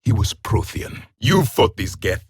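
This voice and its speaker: Casting: stern alien warrior, game character, disdainful formal delivery, slightly husky, very dry studio sound. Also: male voice, lowish voice, big pitch swings, no room sound, full character voice. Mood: haughty, grave. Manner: haughty, disdainful, formal measured speech. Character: proud, stern alien warrior, last of an ancient race. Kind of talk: video game character voice lines.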